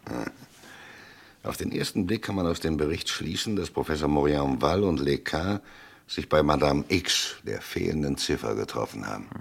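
A gruff, shrewd police chief in a radio crime drama. His voice is very low and husky, and he weighs his words in terse, deliberate phrases. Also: full character voice. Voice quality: gravelly, husky.

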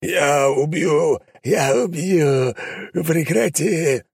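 A man voicing a huge, boastful male demon who roars his threats slowly and drags out his words. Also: fairly high pitch, vocal fry, a little mellow, breathy edge, no echo, studio quality, pitched above the performer's own voice, full character voice. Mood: frantic. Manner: slow, drawn-out threatening roar. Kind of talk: audiobook character voice.

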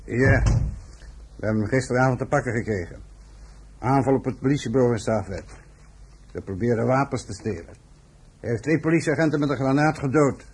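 An actor playing a hard, contemptuous police inspector in a radio play, with a brisk, authoritative manner. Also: male voice, mid-range voice, background noise, lightly acted. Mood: matter-of-fact.

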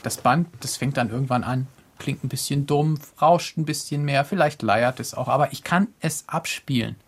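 Husky male sound engineer, matter of fact, explains it plainly. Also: medium pitch, normal speed.

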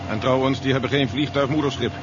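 A man's voice: medium pitch, fairly even intonation, fast talker, lightly acted. A man plays a stern general weighed down by worry. He speaks seriously and with clipped authority. It sounds like a dull, noisy seventies radio drama recording. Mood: worried, gloomy. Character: General Stevens, stern worried military man. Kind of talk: 1970s Dutch radio drama acting.

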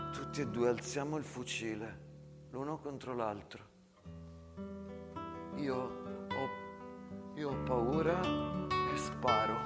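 A man narrating a theatrical monologue in short, measured phrases with dramatic pauses. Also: mid-range voice. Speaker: actor-storyteller. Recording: long reverb, loud guitar music behind. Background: plucked guitar music.

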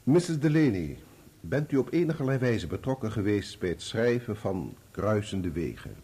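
Husky, probing courtroom examiner. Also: male voice, on the low side, sing-song, warm tone. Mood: probing, courteous. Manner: formal, deliberate questioning. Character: formal, probing male courtroom examiner questioning a witness. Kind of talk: Dutch radio play acting.

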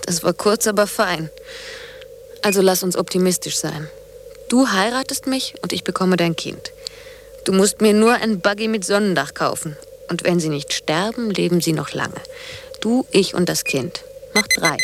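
Woman acting low-voiced, teasing young woman. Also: normal speed, slight background noise, close to an everyday voice. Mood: playful, teasing. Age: young adult. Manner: teasing, pert. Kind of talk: German radio play acting.

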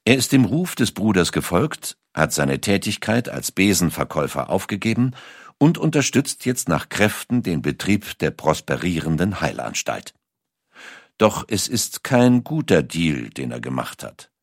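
A man reading scripted documentary narration in a measured, carefully articulated storytelling manner. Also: low-pitched, very animated, dry recording, studio quality.

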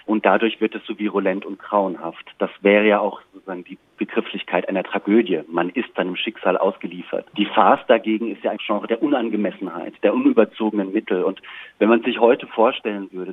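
A man speaking as a discussion guest, reflective and analytical, in a measured, intellectual way through a band-limited, radio-like sound. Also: lowish voice, fairly even intonation, slightly rough, narrow, tinny audio.

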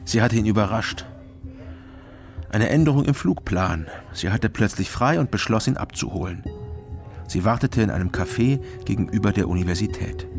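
Wry private eye, laconic first-person narration, lowish male voice, crime radio play, clear music behind. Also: animated, normal speed. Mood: dry, reflective. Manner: laconic, dry. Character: sober, wry East German private detective narrating. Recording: music under the voice.

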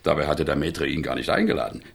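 Gravelly, garrulous older innkeeper. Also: male voice, rumbling low, fairly even intonation, fast, heavily acted. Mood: chuckling, reminiscing. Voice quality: gravelly, husky. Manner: chatty, chuckling, tags remarks with a questioning phrase. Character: garrulous, earthy older provincial innkeeper, gossipy but guarded. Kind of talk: radio play dialogue.

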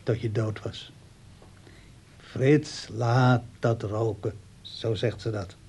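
A brooding old father in a radio drama. His voice is husky and mid-pitched. He talks in a halting, musing way, as if lonely. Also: fairly even intonation, a little mellow. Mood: musing, wistful. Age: older. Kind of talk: radio play acting.

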